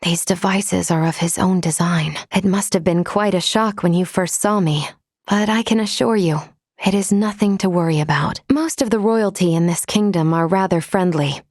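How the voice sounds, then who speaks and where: An actress voicing a composed, polite female android in a video game, speaking in formal, measured, precise phrases. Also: fairly low pitch, a little mellow, no echo, studio quality. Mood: calm, reassuring. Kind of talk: scripted video game character lines.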